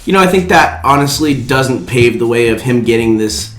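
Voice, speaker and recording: Male co-host, loose halting chat, mid-pitched, in an echoey room with faint music. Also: expressive. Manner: halting, often trailing off mid-thought. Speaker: podcast co-host. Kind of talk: casual chat about movie news.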